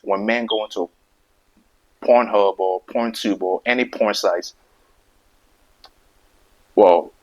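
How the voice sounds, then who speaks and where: Low-voiced man who stutters. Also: fairly even intonation, slow pace, long pauses, lo-fi sound. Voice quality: stutters, repeats words. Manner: emphatic, repeats points over and over. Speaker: video host. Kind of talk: casual opinion monologue to camera.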